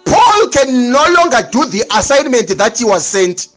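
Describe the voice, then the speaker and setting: A man's voice: very high-pitched, sing-song, moderate pace, a bit bright, faint music behind. A man preaching a sermon, emphatic and repetitive, with dramatic swings in delivery.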